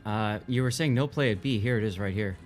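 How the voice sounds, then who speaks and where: A man's voice: fairly low pitch, brisk. A man commentating live on a video game tournament in a casual, explanatory way. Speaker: esports commentator. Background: music.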